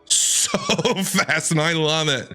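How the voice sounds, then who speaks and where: A man's voice: a little high, wildly swooping pitch, takes it slowly, bright, forceful tone, faint music behind. A man doing casual, playful game commentary as a streamer, teasing the characters as he reacts. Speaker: gaming streamer reacting to a story quest.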